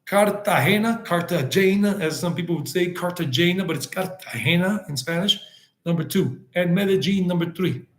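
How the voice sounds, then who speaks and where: A man talks casually on a live stream, rambling from point to point, answering viewers and dropping Spanish words into his talk. His voice sits a bit high for a man. The room gives it a noticeable echo. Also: normal speed. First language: English. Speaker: travel vlogger hosting a live stream.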